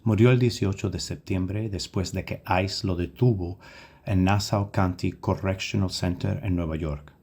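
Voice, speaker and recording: A man's voice: low-pitched, steady tone, moderate pace, darkish tone, slightly rough. A man giving a scripted memorial reading. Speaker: reader in an online remembrance video.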